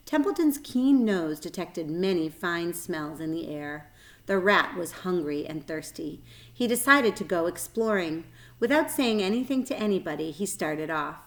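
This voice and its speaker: A woman's voice: on the low side, expressive, moderate pace. A woman reading a children's story aloud as narrator, with expression.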